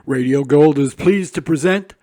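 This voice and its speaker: A man's voice: fairly high pitch, normal speed, dark tone, no room sound, studio quality. A man reading scripted narration as an announcer.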